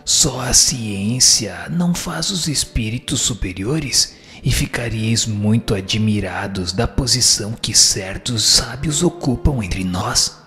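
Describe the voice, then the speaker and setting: A man playing a solemn, wise teaching spirit in a radio drama, answering in a formal, measured, didactic way. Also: on the low side, bright, forceful tone, heavily acted. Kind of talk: scripted radio drama reading of questions and answers.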